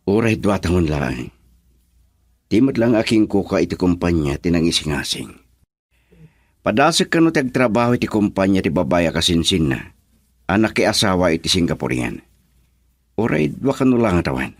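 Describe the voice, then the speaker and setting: Man acting as brooding young husband, very low husky voice, swooping intonation, Filipino accent, radio drama. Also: very animated, moderate pace, long pauses, no echo, studio quality, heavily acted. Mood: stubborn.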